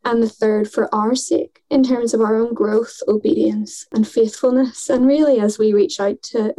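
A woman's voice: on the high side, fairly even intonation, normal speed, mellow, low-quality recording. A woman mission worker in her thirties, speaking earnestly and thoughtfully in a conversational podcast.

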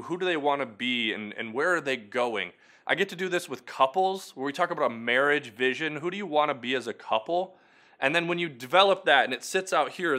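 A man's voice: medium pitch, sharp tone. A man hosting a short weekly video devotional, talking earnestly and encouragingly to the viewer in a conversational way. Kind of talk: short devotional talk to camera.

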